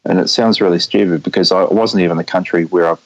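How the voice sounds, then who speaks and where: Cast a deep-voiced New Zealand man, hesitant guest, trips over his own words on a remote call. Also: fairly even intonation, poor audio. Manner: halting, stammering, repeats words. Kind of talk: remote interview.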